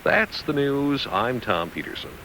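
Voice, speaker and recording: A man's voice: mid-range voice, big pitch swings, measured pace, sharp tone, slight background noise, poor audio. An American man anchoring radio news, reading scripted headlines and weather.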